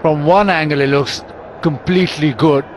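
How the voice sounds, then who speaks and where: A man calling live cricket play-by-play in brisk, quick summaries. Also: on the high side, fairly even intonation. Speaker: cricket commentator. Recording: broadcast commentary with crowd and music.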